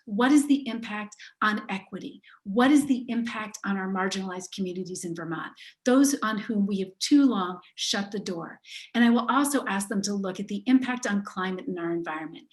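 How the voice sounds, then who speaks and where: A middle-aged woman delivers a prepared campaign statement in an earnest, emphatic way. Her voice sits at a middle pitch for a woman, and she speaks as a candidate for governor addressing a forum. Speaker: gubernatorial candidate.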